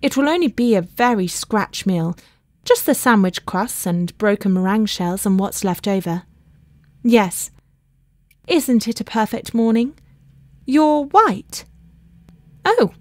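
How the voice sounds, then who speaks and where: A woman voicing an excitable, affectionate well-bred young woman in a storybook, with breezy, exclamatory chatter. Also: a little high, normal speed, hardly any pauses, dry recording. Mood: bright, chatty. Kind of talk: storybook character voice in an audiobook.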